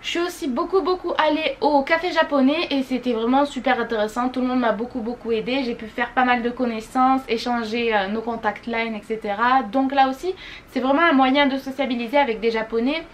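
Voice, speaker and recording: A woman's voice: high voice, fairly even intonation, brisk. A young woman vlogging a casual monthly recap, gushing and repeating words for emphasis. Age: young adult. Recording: faint background noise.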